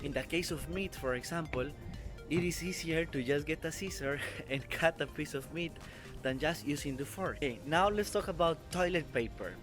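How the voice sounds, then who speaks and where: A man's voice: fairly high pitch, normal speed. Young man explaining over background music. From Costa Rica. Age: 20s. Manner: earnest, careful non-native English with small grammar slips. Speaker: vlogger. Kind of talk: explanatory travel vlog. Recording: music playing underneath.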